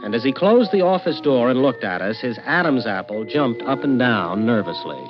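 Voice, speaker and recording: An actor playing a wry, wisecracking private detective narrating a radio drama in a sardonic, deadpan manner. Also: male voice, mid-range voice, normal speed, natural voice. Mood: wry, storytelling. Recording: muffled vintage radio recording with music.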